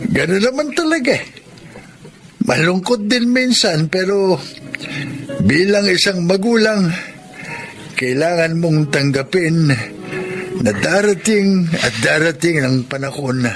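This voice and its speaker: A man playing a gentle, wistful old Filipino grandfather, reminiscing haltingly in a melodramatic audio drama. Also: on the high side. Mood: wistful acceptance. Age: older. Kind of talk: Filipino radio-style melodrama acting. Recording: background music under the voice.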